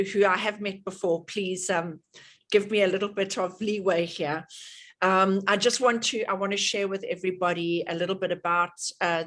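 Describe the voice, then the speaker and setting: A middle-aged woman with a South African accent hosting an online workshop over a video call, chatty, with many fillers and restarts. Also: on the low side. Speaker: workshop host.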